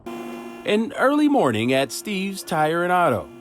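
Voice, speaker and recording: A man's voice: high voice, wildly swooping pitch, slow pace, noisy background. A man reading a scripted TV news report as a reporter.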